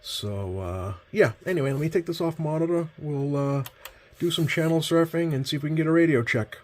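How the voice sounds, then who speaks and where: A man's voice: on the high side, brisk, a little mellow. A man giving a casual, hands-on demonstration as he hosts at a radio workbench. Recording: clear background noise.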